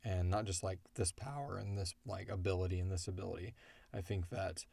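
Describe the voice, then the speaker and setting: Male podcast co-host, low and gravelly, loose conversational Q&A delivery, joking and digressive, close dry sound. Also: steady tone, brisk, warm tone, no echo. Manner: rambling, joking explanations with acted-out quotes. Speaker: podcast co-host and creator of an audio drama, answering fan questions. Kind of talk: casual question-and-answer chat.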